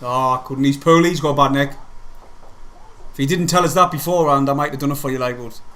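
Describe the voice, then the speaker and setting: A man's voice: medium pitch, fast, fairly bright tone. A man, co-hosting a casual football live stream with laughing, sweary banter. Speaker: football channel co-host.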